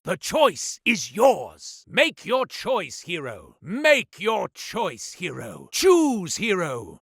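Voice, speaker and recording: A man's voice: gravelly, no room sound, studio quality, heavily acted. A flamboyant goat-man merchant. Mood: commanding. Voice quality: wildly swinging pitch, booming then muttering. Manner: grandiose salesman patter with deadpan asides. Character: flamboyant male goat-man merchant, showy, sly, theatrical. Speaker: shopkeeper character. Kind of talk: video game voice lines. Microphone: dry studio mic.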